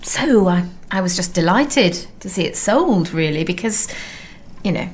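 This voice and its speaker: An actress in a radio drama playing an effusive, fussy, chatty and nosy neighbour. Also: female voice, fairly low pitch, expressive, unhurried, slight background noise. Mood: chatty, probing. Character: gushing, nosy, slightly odd female neighbour. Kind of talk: naturalistic radio drama acting.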